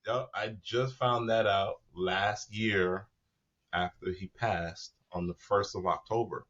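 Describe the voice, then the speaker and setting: A man with a fairly low voice hosts a casual interview. He talks in relaxed slang and readily chimes in to agree, and his audio sounds a bit dull and lo-fi. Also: slow pace. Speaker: interviewer, host.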